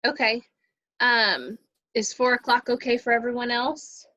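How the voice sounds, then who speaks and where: A woman's voice: fairly high pitch, steady tone, a little slow, bright, forceful tone, a little hiss or hum. A woman coordinating schedules in a casual meeting on a video call, thinking aloud hesitantly. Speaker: activities coordinator scheduling rehearsals. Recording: slightly dull video-call audio.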